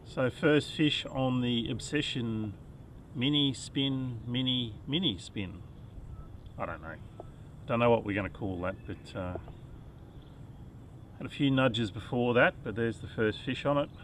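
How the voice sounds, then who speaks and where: A man's voice: medium pitch, a little slow, pauses often, slight background noise. Chatty Australian man fishing from a kayak. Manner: relaxed, chatty, muttering to the fish while fighting it. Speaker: fishing vlogger. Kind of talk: casual fishing vlog narration. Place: kayak on a reservoir outdoors. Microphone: head-mounted action camera.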